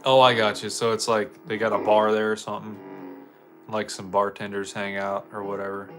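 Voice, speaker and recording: A man's voice: on the low side, little pitch movement, normal speed, over music. An American man chatting casually with viewers on a live game stream, laid-back, slangy and joking.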